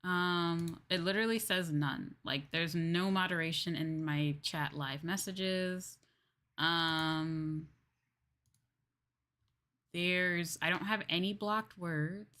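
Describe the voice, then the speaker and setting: A woman's voice: fairly low pitch, slow, a bit bright. A woman livestreaming a game with casual commentary, chatting to viewers and rambling as she thinks aloud. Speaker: livestreamer playing a farming game.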